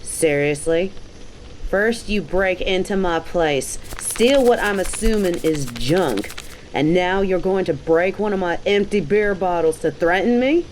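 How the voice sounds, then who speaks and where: A woman's voice: lowish voice, animated, unhurried, a little mellow, slight background noise, low-quality recording. A woman playing a cocky, dominant bounty hunter in an audio roleplay, with a mocking, taunting, slangy delivery. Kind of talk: scripted roleplay audio drama.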